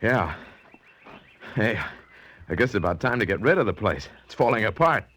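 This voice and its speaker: A bewildered, doubting heir in an old-time radio drama. His lowish voice rises and falls a lot, with slangy, hesitant delivery that frays into frustration. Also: very animated, moderate pace, a bit bright, husky, full character voice. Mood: dismissive, shaken. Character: skeptical, bewildered male heir, easily frustrated. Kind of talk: 1940s radio acting.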